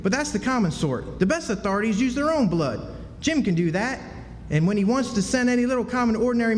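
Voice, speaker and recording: A man's voice: high-pitched, a little hiss or hum. An actor playing a bossy, fanciful American boy in a live radio drama, speaking insistently in emphatic folksy dialect. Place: historic club hall, live performance. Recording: echoey hall stage, faint music.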